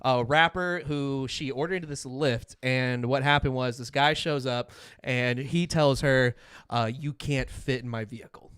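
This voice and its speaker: A man's voice: medium pitch, measured pace, a bit bright, clean tone, dry recording, studio quality. A man chatting casually as a podcast co-host, joking in a crude, mocking way and swearing freely.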